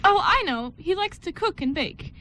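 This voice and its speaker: Radio play bride female actor: coaxing, reassuring best friend, high and girlish in pitch. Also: animated, moderate pace, pushed and bright, a little hiss or hum, full character voice. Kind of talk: amateur radio play script reading.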